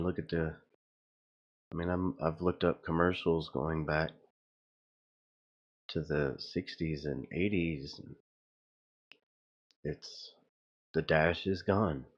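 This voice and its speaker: A man with a very deep voice talks slowly through a casual, rambling commentary. He sounds puzzled and insistent as he makes his point. The recording is slightly dull and lo-fi. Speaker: video host.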